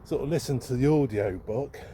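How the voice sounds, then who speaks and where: Casting: male vlogger, mid-pitched, hesitant and unscripted, musing aloud outdoors with clear traffic noise behind. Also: brisk, mellow. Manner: rambling, hesitant, thinking aloud. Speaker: second-hand bookseller and vlogger. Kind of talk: casual unscripted vlog musing. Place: outdoors, walking along a town street. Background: street ambience and vehicles.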